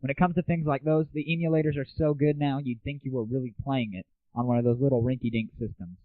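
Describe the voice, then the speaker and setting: A man's voice: medium pitch, fairly even intonation, fast talker, no room sound. A man hosting a casual solo internet audio show, talking in an irreverent, crude, off-the-cuff way. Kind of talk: casual solo rant and chat. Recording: muffled phone-like lo-fi 1990s internet audio.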